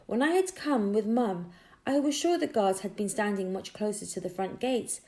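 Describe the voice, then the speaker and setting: A woman narrating a children's audiobook expressively, with deliberate storytelling phrasing. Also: medium pitch, mellow. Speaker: audiobook reader.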